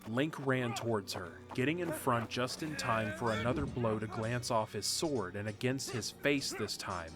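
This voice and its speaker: A man narrating a fan audio drama in a steady, measured storytelling manner. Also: fairly low pitch. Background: music.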